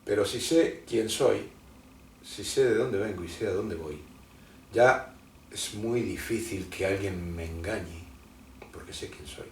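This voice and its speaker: Man, interview guest, low and rambling, hesitant, roomy far-off mic. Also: measured pace, pauses often, slight background noise. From Argentina. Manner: rambling and explanatory, many hesitations and restarts. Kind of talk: conversational interview, lecturing. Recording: roomy sound from a distant mic.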